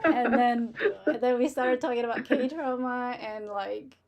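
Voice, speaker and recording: A woman's voice: a little high, fairly even intonation, unhurried, darkish tone. Giggly woman chatting on a livestream. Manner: giggly, laughs often while talking. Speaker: livestream host. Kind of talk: casual chat.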